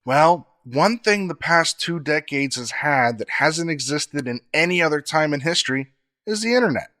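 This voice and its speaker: Man explaining facts in measured tones. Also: fairly high pitch, lively intonation, pushed and bright. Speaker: podcast co-host explaining facts. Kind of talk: scripted explanatory talk.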